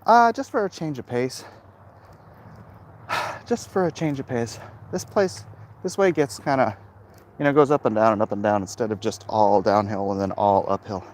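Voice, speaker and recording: A middle-aged man talking casually and haltingly to his viewers in a monologue recorded while out walking. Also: medium pitch, darkish tone, slight background noise. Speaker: comic book creator vlogging. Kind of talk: casual walk-and-talk monologue. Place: outdoors, walking along a hilly route.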